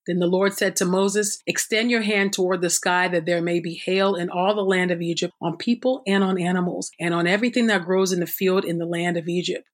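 A woman reading scripture aloud at a measured, steady pace. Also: lowish voice. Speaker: scripture reader.

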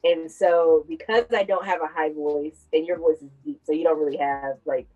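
Woman with a lowish voice, reflective. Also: fairly even intonation, moderate pace, warm tone, muffled recording, soft background music. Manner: reflective, explains at length. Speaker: podcast host. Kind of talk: casual conversational chat.

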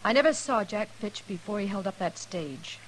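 A woman's voice: mid-range voice, lively intonation, moderate pace, close to an everyday voice, poor audio. An actress playing a determined, lovestruck frontier woman with an American accent, in earnest, dramatic 1950s radio style. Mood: earnest, defiant. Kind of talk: 1950s radio western acting. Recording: hissy vintage radio recording.

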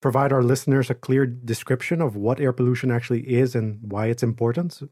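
A man co-hosting a science podcast, asking relaxed questions and adding frequent "mm" acknowledgements. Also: medium pitch, fairly quick. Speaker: podcast co-host. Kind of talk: casual interview questions.

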